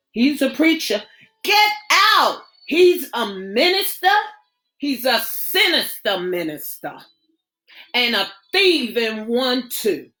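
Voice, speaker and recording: Lady putting on a bitter dumped guy, low brooding clipped lines, takes it slow. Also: pushed and bright, heavily acted. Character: angry betrayed young man, bitter.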